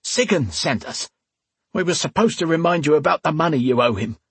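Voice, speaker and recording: A man voicing a gruff, crude hired thug in an audiobook, pained and growling through clenched teeth. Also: a little high, expressive, a little fast, a bit bright, no echo, pitched above the performer's own voice, full character voice. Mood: nervous, grudging. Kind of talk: dramatic audiobook character voice.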